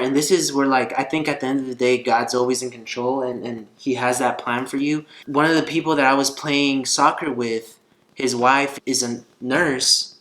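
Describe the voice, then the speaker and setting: A man's voice: mid-range voice, a bit bright. Man telling a casual story, roomy echo. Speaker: vlogger telling a personal story. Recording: roomy echo, faint guitar music behind.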